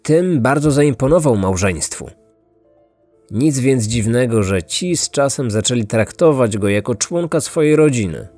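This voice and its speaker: A man narrates a scripted true-crime tale at an average male pitch. His storytelling is measured, and the sound is dry, with music underneath. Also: expressive, a little mellow, dry recording. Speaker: podcast host and narrator.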